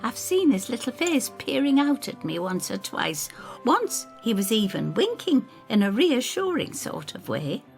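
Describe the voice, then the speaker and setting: A woman's voice: on the high side, sing-song, unhurried, vocal fry, soft background music, voice pushed up, full character voice. A woman voicing a drained, obsessed elderly female neighbour, earnest and wistful, in an audio storybook. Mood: earnest insistence. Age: older.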